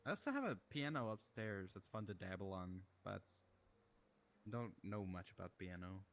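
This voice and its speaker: A man with a fairly low voice and an American accent that drifts toward Russian chats over a headset mic. He rambles in an easygoing way, often breaking off and restarting sentences. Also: expressive, moderate pace, fairly bright tone. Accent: Russian-tinged American. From United States. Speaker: streamer. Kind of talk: casual chat in a social VR game.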